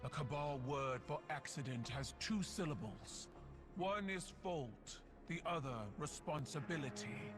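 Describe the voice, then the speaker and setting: A man's voice: mid-range voice, close to an everyday voice. A man playing a proud alien warrior ruler in a video game, formal, solemn and imperious. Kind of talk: dramatic video game acting.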